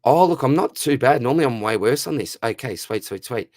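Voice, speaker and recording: A man's voice: medium pitch, expressive, brisk, darkish tone, no echo. An Australian man with a dry, slightly worn throat, hosting a casual live Q&A and reading out viewer questions in a chatty, matey way. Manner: matey and chatty, lots of "mate" and "brother", reads out questions. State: dry throat, losing his voice. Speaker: fantasy football streamer answering viewer questions.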